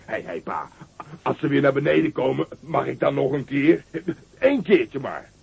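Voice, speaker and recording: An actor playing a boastful, childish young giant in a radio play, who giggles, stammers and whines with a raspy voice. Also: male voice, medium pitch, sing-song, moderate pace, a little mellow, highs cut off, exaggerated voice. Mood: gleeful boasting. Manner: giggling, proud and whiny, stammering. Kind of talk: radio drama acting.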